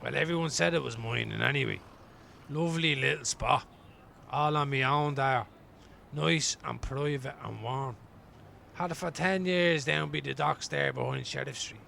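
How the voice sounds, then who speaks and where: A man acting an amiable middle-aged homeless Dubliner in a radio play, with a gravelly voice and halting, rambling manner. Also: medium pitch, sharp tone, slight background noise. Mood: fond, proud. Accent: Dublin Irish. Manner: halting, rambling, good-natured.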